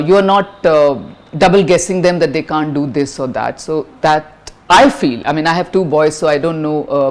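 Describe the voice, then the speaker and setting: A middle-aged woman from India with an Indian English accent and a high-pitched voice, answering interview questions frankly, with lots of fillers and restarts. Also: a little mellow. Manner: frank, many fillers and restarts, mixes in Hindi phrases.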